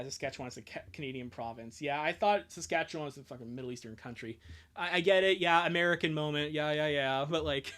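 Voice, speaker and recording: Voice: medium pitch, fairly bright tone. A streamer chatting casually during a live reading, joking and often breaking off mid-thought. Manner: joking, self-interrupting, giggly. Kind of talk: casual livestream chat.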